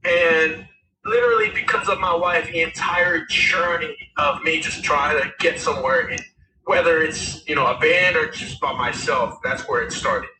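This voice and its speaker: A raspy-voiced man in his thirties, a musician guest telling his story in a rambling, casual way with many fillers. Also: a little high, normal speed, echoey room, far from the mic, noisy background. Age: 30s. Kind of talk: casual interview talk.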